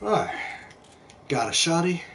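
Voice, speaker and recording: Young man, game streamer, slightly high voice, unhurried casual commentary, sweary, self-deprecating, faint background noise. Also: sing-song, a bit bright. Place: indoor room at home. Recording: clearly noisy home stream recording.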